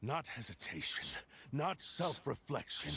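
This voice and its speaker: An actor playing a proud, bitter, menacing cursed sword spirit in a video game, with a gravelly voice and imperious, deliberate phrasing. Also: male voice, medium pitch, very animated, noisy background. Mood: cold, defiant. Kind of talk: theatrical video game villain acting.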